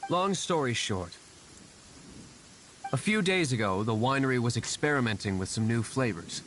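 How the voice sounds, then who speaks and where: An actor playing a composed, aristocratic winery owner in a game cutscene, speaking in a formal, measured way. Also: male voice, medium pitch, a little hiss or hum, close to an everyday voice. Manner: formal, measured, calmly authoritative.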